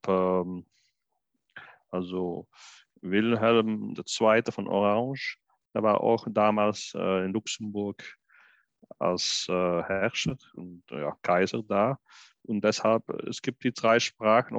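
A man from Belgium, a guest interpreter on a podcast, talking casually and haltingly, full of fillers and restarts. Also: on the low side, gravelly, no room sound. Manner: hesitant, many fillers and restarts. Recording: dull, lo-fi, dry sound.

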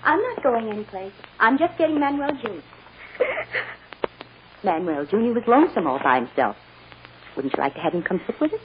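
Actress doing a tender emotional mom in an old radio soap, muffled noisy recording. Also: female voice, mid-range voice, sing-song, brisk, pauses often, close to an everyday voice. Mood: gentle, coaxing. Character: loving, anguished mother soothing her lost child. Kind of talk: 1940s-50s radio melodrama acting.

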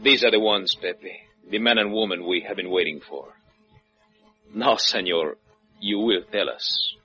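Deep, courtly Spanish-accented villain. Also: male voice, sharp tone. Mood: quietly menacing. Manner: courtly, unhurried, faintly amused menace. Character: suave, menacing Cuban-based revolutionary gunrunner, falsely courteous. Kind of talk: 1950s radio adventure acting. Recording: dull 1950s radio recording, music behind.

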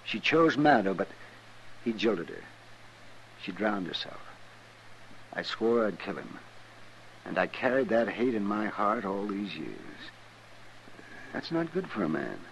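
An actor playing a weary, conscientious frontier doctor in a 1950s western radio drama, with a gravelly, halting, folksy delivery. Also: male voice, fairly low pitch, big pitch swings, heavily acted. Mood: remorseful. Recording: muffled, lightly hissy old radio recording.

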